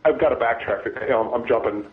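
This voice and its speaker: A man, a former deputy sheriff, talking as a guest with a raspy voice from a cold, halting and restarting his sentences. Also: mid-range voice. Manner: halting, many restarts and repeated words. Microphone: phone. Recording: phone line.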